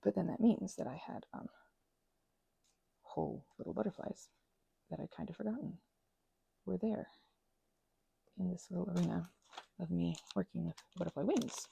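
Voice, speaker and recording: A woman making soft-spoken ASMR at home, rambling as she crafts, full of fillers and dipping into whispers now and then. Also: on the low side, moderate pace, creaky, pauses often, breathy edge, no echo, rough recording. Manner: meandering, filler-heavy, drifting into whispers. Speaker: ASMR creator. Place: indoors at home.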